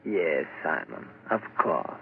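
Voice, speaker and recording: Muffled vintage radio: calm mental doctor, medium pitch, widely inflected, deliberate pauses, period drama. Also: male voice, gravelly, exaggerated voice. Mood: grave, soothing. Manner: measured, deliberate, hesitant pauses. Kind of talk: 1940s radio mystery acting. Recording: muffled old radio with faint noise.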